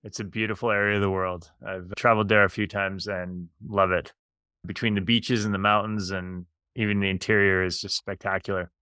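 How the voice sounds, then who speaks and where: A man's voice: sits low, flat delivery, a little fast, bright, forceful tone, smooth, no room sound. A man hosting a podcast who reads an upbeat scripted introduction with emphasis, then asks interview questions. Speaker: podcast host.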